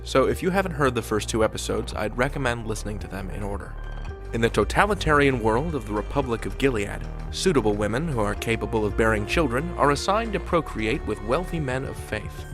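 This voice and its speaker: A man's voice: mid-range voice, expressive, a little fast, few pauses, music in the background. A man hosting a podcast, giving a scripted, dramatic introduction. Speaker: podcast host.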